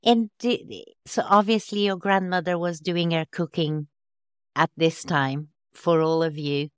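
A woman hosting a podcast interview, asking slow, thoughtful questions about writing children's books. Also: lowish voice, fairly even intonation, smooth, no room sound, studio quality. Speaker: podcast host, children's author.

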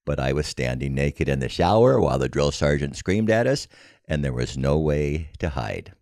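An older American man telling a personal story in a scripted, wry, folksy and measured way. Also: extremely low pitch, animated, darkish tone, no room sound, clean studio sound. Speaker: podcast storyteller.

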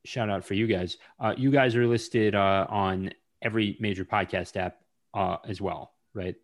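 A man's voice: lowish voice, steady tone, moderate pace. A man hosting a podcast interview, speaking hesitantly with frequent fillers. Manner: hesitant, lots of uhs. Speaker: podcast host. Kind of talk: casual interview.

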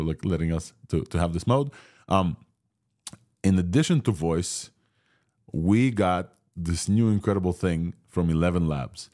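A man's voice: low-pitched, darkish tone. A man with a Ukrainian Israeli accent hosting a casual tech podcast, rambling with many fillers and restarts. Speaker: podcast host.

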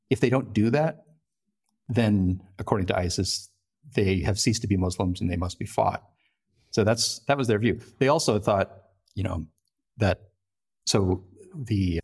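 A man's voice: on the low side, a little mellow, husky. A man giving a conversational expert explanation as an interview guest, articulate but often repeating words as he goes. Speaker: interview guest, foreign correspondent and lecturer.

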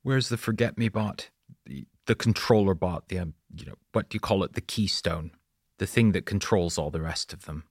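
Man acting as audiobook villain boss, husky, low, menacing, sarcastic, curt. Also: moderate pace, dry recording, studio quality. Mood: curt, impatient.

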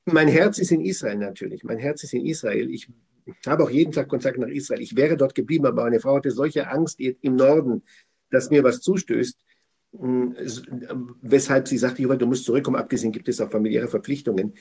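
A man's voice: mid-range voice, brisk, gravelly, a little mellow, breathy edge. A man talking as a guest on a weekly Torah portion show, emphatic and digressive, often repeating his phrases. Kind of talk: effusive conversational talk.

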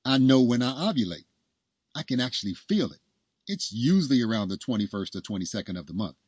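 A self-assured young wife from a storybook, acted out by a male narrator, wry and skeptical in manner. The voice is husky and sits close in a dry recording. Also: medium pitch, very animated, fairly quick, a little mellow, no room sound. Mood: confident, insistent. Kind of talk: storybook dialogue.

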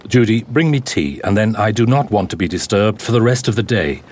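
An actor playing a cold, imperious head scientist who gives curt commands in an audio drama. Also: male voice, fairly low pitch, expressive, brisk, noisy background, close to an everyday voice. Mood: commanding. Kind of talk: full-cast audio drama acting.

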